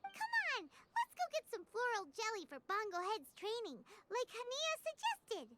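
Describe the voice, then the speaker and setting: A woman's voice: very high voice, wildly swooping pitch, moderate pace, heavy background noise, exaggerated voice. A woman voicing a tiny, chirpy, enthusiastic childlike fairy companion in a video game. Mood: excited. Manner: bubbly, eager, speaks of self in third person. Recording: loud game music behind. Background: children's-style game music.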